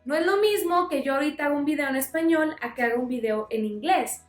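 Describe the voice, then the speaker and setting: A woman's voice: high-pitched, fast, echoey room, soft background music. A woman teaching a Bible lesson in a friendly way, explaining with everyday examples. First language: Spanish.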